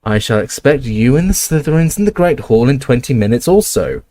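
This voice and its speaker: A man's voice: mid-range voice, expressive, a little fast, dark tone, lightly acted. A man voicing a stern, commanding older female professor with a clipped, imperious manner.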